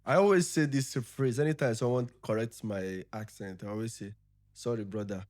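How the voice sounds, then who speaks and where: A man's voice: mid-range voice, moderate pace, darkish tone, dry recording. Nigerian guy, igbo first language, says it straight, mid voice, dead dry room. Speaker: podcast co-host. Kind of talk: casual chat.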